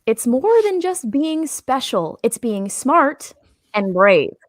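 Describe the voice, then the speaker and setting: A woman's voice: sits high, big pitch swings, slow pace, no echo, studio quality, full character voice. A woman playing a fed-up, blunt alien co-pilot in a comedic script read. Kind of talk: comedic live table read of a film script.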